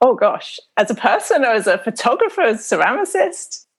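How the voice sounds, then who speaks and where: A middle-aged woman from the UK with a British accent softened by years in America, telling her story casually as an interview guest. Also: a little high, big pitch swings, a little fast, husky. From United Kingdom. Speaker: interview guest, photographer and potter.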